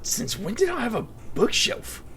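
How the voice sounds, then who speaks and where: An actor playing a scatterbrained, playful, good-natured boss in a fantasy audio drama, stammering and jokey, with a gravelly edge. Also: medium pitch, very animated, normal speed, fairly bright tone. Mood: flustered. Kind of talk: audio drama character acting. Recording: faint noise.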